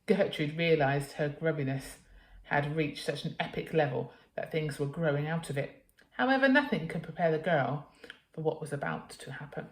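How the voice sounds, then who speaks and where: A woman's voice: low voice, normal speed. Woman reading a story, echoey room. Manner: dramatic, emphatic reading for children. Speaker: teacher reading a children's story aloud. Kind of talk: expressive storybook narration.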